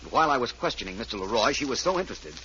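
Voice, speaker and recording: A self-assured male detective from a vintage radio mystery. He talks briskly and precisely, with lively swings in pitch, on a muffled, hissy old broadcast recording. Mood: confident, explaining. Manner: polished, precise, coolly explanatory. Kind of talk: old-time radio detective drama acting.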